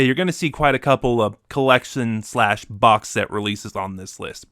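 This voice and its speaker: A man speaks as a reviewer in a casual, conversational style. His delivery is a little halting, as if working out his thoughts aloud, and the sound is dry with little room in it. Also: mid-range voice, fairly bright tone, no room sound. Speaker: reviewer ranking favorite audio dramas.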